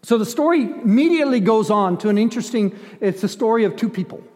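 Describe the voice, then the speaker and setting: Older guy preaching a sermon, pretty high voice, emphatic but chatty, big reverby hall. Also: expressive, fast talker, darkish tone. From grew up in Ethiopia. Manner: emphatic, conversational, with dramatized asides and rhetorical questions.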